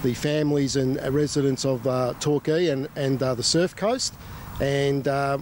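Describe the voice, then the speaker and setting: An Australian man giving spontaneous, somewhat halting answers as an official in an interview. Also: mid-range voice, fairly even intonation, darkish tone, slight background noise.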